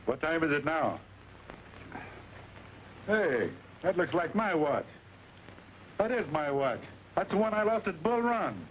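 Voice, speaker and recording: An actor playing a grumbling, petty-thieving Union soldier in an old Western. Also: male voice, a little high, very animated, slow pace, long pauses. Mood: suspicious. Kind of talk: old Western film acting. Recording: muffled, hissy old film soundtrack.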